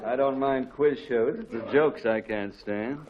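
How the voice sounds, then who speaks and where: A man's voice: medium pitch, measured pace, crowd noise, close to an everyday voice. An actor playing a sarcastic, exasperated middle-aged father in 1940s radio comedy style. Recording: dull old 1940s radio recording.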